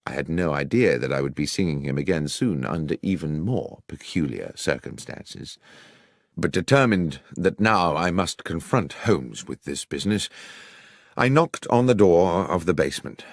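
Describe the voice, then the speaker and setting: A man with a British accent narrating a scripted first-person story in a measured, dramatic manner. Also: low voice, lively intonation, no room sound, clean studio sound. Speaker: audiobook narrator.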